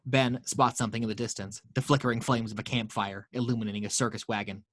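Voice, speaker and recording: A man reading action lines aloud as narrator of a table read, in a steady storytelling way with small slips. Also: mid-range voice, fast, no echo.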